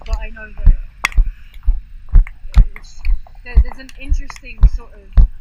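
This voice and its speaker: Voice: mid-range voice, fairly even intonation, a little slow. An interviewer in a casual conversation, thinking aloud in a musing, reflective way. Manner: thoughtful, musing. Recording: distant, muffled mic with loud noise.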